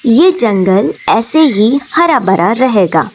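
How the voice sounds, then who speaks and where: A woman's voice: a little high, big pitch swings, slow, slight background noise. A woman voicing a male parrot king of the forest in a cartoon story, earnest in manner. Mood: proud.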